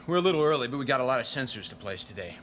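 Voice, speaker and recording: A brash smuggler pilot in a radio drama. He grumbles and wisecracks in an animated, informal voice, with some rumble behind him. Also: very fast. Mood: wry, brisk.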